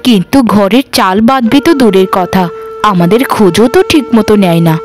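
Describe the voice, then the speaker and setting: A woman's voice: mid-range voice, brisk, faint music behind, natural voice. A woman voicing a careworn, worried village mother in plaintive, melodramatic cartoon dialogue. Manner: plaintive, lamenting.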